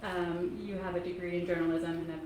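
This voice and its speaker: A woman from Kentucky in her thirties, an author speaking softly and haltingly to a library audience, with many pauses and ums. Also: fairly low pitch, flat delivery. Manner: soft-spoken, halting, frequent ums. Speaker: author giving a library talk. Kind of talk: casual talk to an audience. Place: public library meeting room. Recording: echoey room, faint noise.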